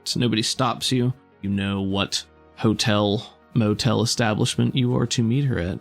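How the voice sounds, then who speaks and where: A man running a tabletop role-playing game as game master, narrating scenes in a relaxed, descriptive way. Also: lowish voice, moderate pace, gravelly, faint music behind.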